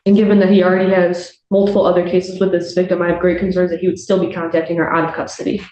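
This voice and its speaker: A woman's voice: lowish voice, level tone, rapid-fire, dark tone. A woman speaking as a prosecutor, making a formal argument to a judge in court. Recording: echoey courtroom, faint background noise.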